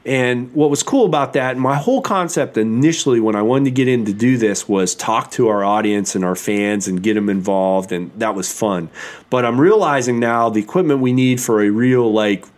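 A man's voice: medium pitch, moderate pace. Male podcast host, sore throat, loose rambling delivery full of "you know". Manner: rambling, enthusiastic, many fillers. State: sore throat, losing his voice. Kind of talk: casual chat.

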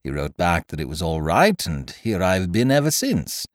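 A man's voice: sits low, sing-song, moderate pace, dry recording, clean studio sound, voice pushed down. A man doing a gloomy, rueful young toff. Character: gloomy, hard-up young gentleman, rueful and put-upon.

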